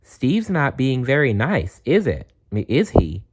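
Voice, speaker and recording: A man voicing a kindly, gentle little magical bear in a storybook, reassuring and softly advising. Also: medium pitch, very animated, a little slow, noisy background, pitched above the performer's own voice. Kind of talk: storybook read-aloud.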